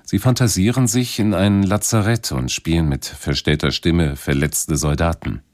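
A man's voice: sits low, fairly even intonation, moderate pace, a little mellow, no echo, clean studio sound. A man introducing a radio play in scripted narration.

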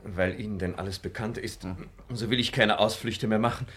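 An actor playing a young, respectful, lovestruck servant in a radio comedy, with formal, declamatory and pleading delivery. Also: male voice, fairly low pitch, steady tone, a little fast, fairly bright tone, slight background noise, natural voice. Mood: apologetic. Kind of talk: classic stage comedy radio acting.

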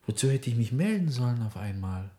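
A frail old peddler answers quietly in a radio play , voiced by a man. His mellow, dark voice wanders up and down in bewilderment. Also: mid-range voice, very animated, brisk, natural voice. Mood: bewildered. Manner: quiet, puzzled.

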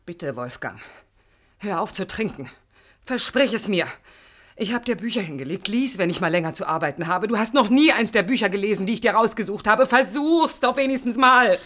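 An actress playing an educated, fed-up young wife in a radio drama, articulate, earnest and reproachful. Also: female voice, mid-range voice, animated, brisk, a bit bright, natural voice. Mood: pleading, reproachful. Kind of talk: radio play acting.

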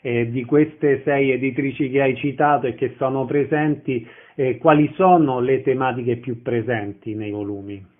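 A man's voice: mid-range voice, fairly even intonation, moderate pace. A man asking questions as an interviewer in an informal conversation. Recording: narrow, tinny phone line.

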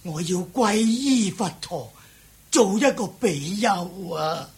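An eager seeker desperate to be taken as a disciple in a radio drama. His voice sits high for a man. He begs insistently, stretching out his words slowly. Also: expressive. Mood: urgent pleading. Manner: insistent, beseeching, drawn-out. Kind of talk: Cantonese radio drama acting.

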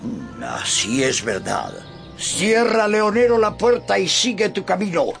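Proud, hot-headed old knight-errant for radio play: very high, slow, declamatory, courtly and deluded. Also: male voice, very animated, vocal fry, a bit bright, far from the mic, faint music behind, heavily acted. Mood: commanding. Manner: grandiose, declamatory, archaic courtly speech. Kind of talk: radio drama acting.

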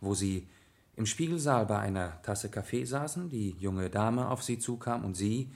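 An actor in a German radio play playing a composed aristocratic gentleman detective, polite and matter-of-fact. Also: fairly low pitch, lively intonation, moderate pace. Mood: serious, probing.

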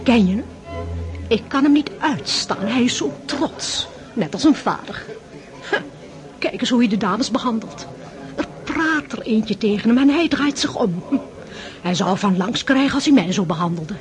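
An actress in a Dutch radio drama playing a gossipy, sharp-tongued society lady, knowing and haughty. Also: fairly high pitch, lively intonation, normal speed, soft background music, lightly acted. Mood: haughty, chatty.

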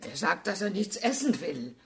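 A blunt household maid in a radio play. Her delivery is dry yet widely varied in pitch, with slight noise behind it. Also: very animated, moderate pace. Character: plain-spoken, dry female household servant. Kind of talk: German radio drama acting.

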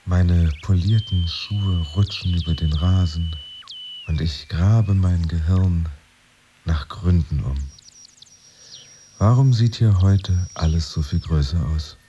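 A bewildered, brooding man who has lost his office job, musing to himself in a radio play. His voice is fairly low and very slow. It sounds slightly muffled, with music underneath. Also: warm tone, a little hiss or hum. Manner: musing, hesitant, addressing himself. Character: jobless male office worker in a suit, bewildered and brooding. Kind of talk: radio play inner monologue.

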